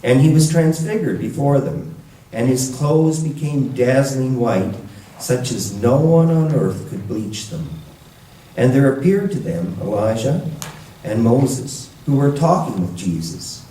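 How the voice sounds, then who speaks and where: Mellow male scripture reader in echoey room. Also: medium pitch, lively intonation, measured pace. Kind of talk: scripture reading with brief introduction. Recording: roomy echo, distant mic.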